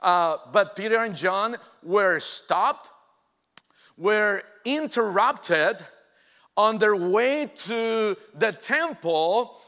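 A man in his forties delivers a sermon in a high, bright voice. He speaks slowly and earnestly, with many "uh" fillers. His voice rings with the long reverb of a big church hall. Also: lively intonation. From Mexico. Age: 40s. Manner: earnest, hesitant with frequent "uh" fillers. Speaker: pastor. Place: church sanctuary.

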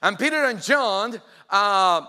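A man, preaching a sermon in an earnest way, often pausing with "uh". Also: sits high, animated, sharp tone, clear, resonant tone. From Mexico. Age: 40s. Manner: earnest, hesitant with frequent "uh" fillers. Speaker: pastor. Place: church sanctuary. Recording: long reverb from a large church hall.

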